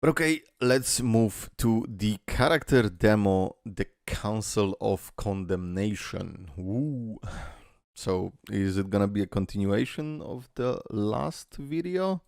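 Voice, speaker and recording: A man's voice: on the low side, slow pace, vocal fry, darkish tone, no room sound, clean studio sound. A man hosting a casual reaction video, rambling with lots of fillers, swearing and laughing as he talks.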